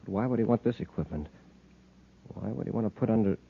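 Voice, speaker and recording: An actor playing a stern, decisive space patrol commander in 1950s radio serial style, clipped and authoritative. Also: male voice, on the low side, animated, quick pace, creaky, slightly rough, full character voice. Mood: brisk, purposeful. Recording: muffled, noisy 1950s radio recording.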